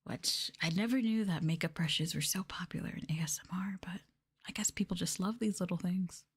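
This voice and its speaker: Girl streamer doing asmr, soft gentle talking n whispering right up on the mic, woman's voice. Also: fairly low pitch, brisk, breathy edge. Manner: gentle hushed delivery, often slipping into whisper. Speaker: streamer host of an ASMR livestream. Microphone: close microphone for headphone listening.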